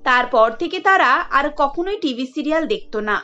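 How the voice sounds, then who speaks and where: A woman narrates a cartoon story in a steady, scripted way. Her voice sits high. Soft music plays underneath. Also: a little fast, dull audio.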